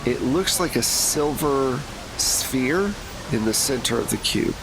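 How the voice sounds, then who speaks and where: A man hosting and narrating a tabletop game as game master, in a relaxed, filler-heavy way. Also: mid-range voice, slow. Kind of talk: tabletop actual play narration. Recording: noisy home mic with hiss.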